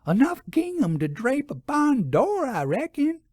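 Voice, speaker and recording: Man putting on a high-pitched gossipy rustic shopkeeper woman. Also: huge pitch swings, darkish tone, no echo, studio quality, full character voice. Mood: conspiratorial, suspicious. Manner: folksy ungrammatical speech, drawn-out emphasis.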